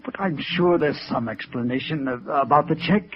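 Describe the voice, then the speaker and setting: Actor as hesitant, defensive American beach idler. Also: male voice, on the high side, natural voice. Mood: rattled, defensive. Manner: hesitant, halting. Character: stunned, defensive muscular male beach idler in his thirties. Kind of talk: 1950s radio drama acting. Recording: dull, band-limited vintage radio recording.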